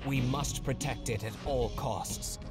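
An obedient young prince from a video game, played by a man answers formally in a mid-pitched voice. He sounds subdued and dutiful, with loud music around him. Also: unhurried, lightly acted. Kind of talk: video game cutscene acting.

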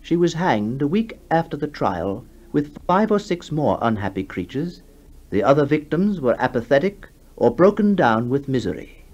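A man narrating a ghost story for 1960s radio in a measured storytelling manner with deliberate pauses. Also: fairly high pitch, very animated, darkish tone, muffled recording. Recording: 1960s radio broadcast with music behind.

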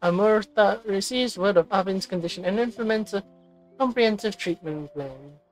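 A woman's voice: medium pitch, slow pace, low-quality recording. A woman doing a casual game playthrough, reading on-screen text aloud and commenting. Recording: voice over game music. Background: game music.

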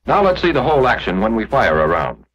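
An American man narrating a scripted 1940s instructional film in a measured, explanatory manner, on an old film soundtrack. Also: medium pitch, very animated, fairly bright tone, lo-fi sound.

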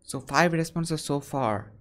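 Earnest young man, halting live Q&A. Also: a little high, slight background noise. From India. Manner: earnest, halting, frequent restarts. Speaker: English-learning channel host. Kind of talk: live-stream Q&A. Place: small room indoors.

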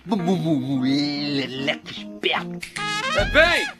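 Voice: medium pitch, huge pitch swings, takes it slowly. An actor playing a stuttering, scheming insect gang leader in a Brazilian cartoon dub, mocking and wheedling but cowardly underneath. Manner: wheedling, taunting. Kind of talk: animated film dub acting. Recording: music behind the voice.

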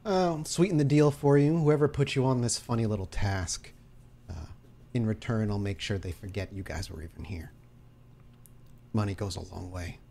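A man playing a smug, scheming crime boss who bargains in a measured, businesslike way in a roleplay game. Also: fairly low pitch, creaky, warm tone, a little hiss or hum. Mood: smug bargaining.

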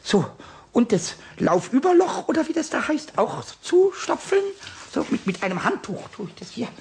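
A man playing a small, cheeky, childlike kobold in a radio play, with a squeaky cackling voice, stammered repetitions. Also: big pitch swings, moderate pace, darkish tone, full character voice. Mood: busy, gleeful. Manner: stammering repetitions, childish exclamations, sing-song rhymes. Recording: noisy old radio recording.

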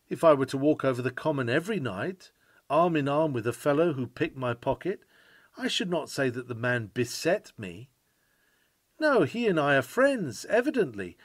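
A man reading a sermon aloud in an oratorical style, with earnest, emphatic, measured phrasing. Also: fairly high pitch, no room sound. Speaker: sermon reader.

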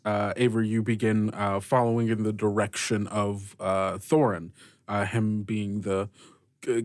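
A man narrating a tabletop game as game master, improvising phrase by phrase in a halting way. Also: lowish voice, no room sound, clean studio sound.

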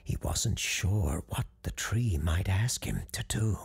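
Deep, gravelly, slow ghost-story narrator. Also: lively intonation. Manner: hushed, deliberate, suspenseful. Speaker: storyteller, host.

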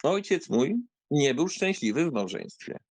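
A man's voice: on the high side, very animated, unhurried, noisy background. Man acting a mocking young count, swooping delivery. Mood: teasing, ironic. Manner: ironic, theatrical, flippant. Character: mocking, carefree young count, teasing bachelor nephew. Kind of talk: dramatised audiobook acting.

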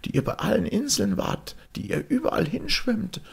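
A man voicing a commanding old woman in a fairy tale, with a high, thin, husky voice and imperious calls. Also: huge pitch swings, normal speed, heavily acted. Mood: commanding, calling out. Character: commanding old witch woman, mother-in-law.

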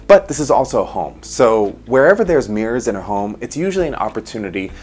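A man in his thirties with a lowish voice explains a room layout in a home office, his pitch rising and falling freely. He talks close to a lapel mic, and soft music plays underneath. His manner is animated and hands-on. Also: fast, slightly rough. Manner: animated, gestures while explaining. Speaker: interior designer host. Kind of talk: design walkthrough explaining a floor plan.

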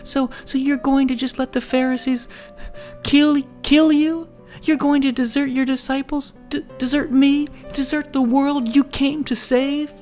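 A distraught young woman pleads and argues in a strained, very high voice, as a male narrator puts her on. Her lines rise in pitch and press hard. Faint music plays under the storybook reading. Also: little pitch movement, measured pace, a little mellow.

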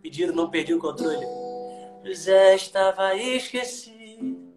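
A man's voice: sits high, lively intonation, moderate pace, roomy sound, music in the background. A young man preaching fervently on a live stream from a small room, slipping into song, filmed on a phone at arm's length. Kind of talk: preaching and singing on a live video call. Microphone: phone camera at arm's length.

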